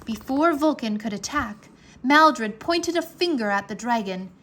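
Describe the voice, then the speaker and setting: Slow, higher-pitched woman reading a children's story. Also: animated, a bit bright. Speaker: storybook narrator. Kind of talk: expressive children's read-aloud.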